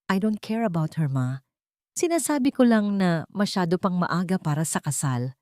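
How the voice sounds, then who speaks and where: A woman's voice: on the low side, sing-song, moderate pace, darkish tone, dry recording, clean studio sound. A woman voicing a cold, bitter billionaire son, clipped and brooding, in an audio love drama.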